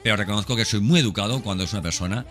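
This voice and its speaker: A man's voice: fairly low pitch, racing, soft background music. A man with an accent from Spain giving a casual, enthusiastic podcast monologue, repeating words and often checking for agreement. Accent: Spanish, from Spain. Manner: conversational and enthusiastic, repeats words, seeks agreement with tag questions. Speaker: podcast host.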